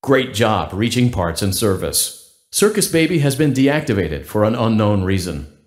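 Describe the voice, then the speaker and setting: Chipper corporate robot guide for a game, super polite n deadpan, swoopy intonation, echoey. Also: male voice, on the low side, moderate pace. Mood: upbeat deadpan. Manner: upbeat corporate formality, deadpan about danger. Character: cheerful, blandly polite robotic guide for night-shift workers. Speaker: automated workplace guide. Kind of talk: scripted game announcement.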